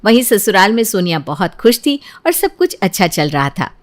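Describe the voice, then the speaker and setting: A woman's voice: medium pitch, big pitch swings, normal speed. A woman reading a scripted family story as its narrator.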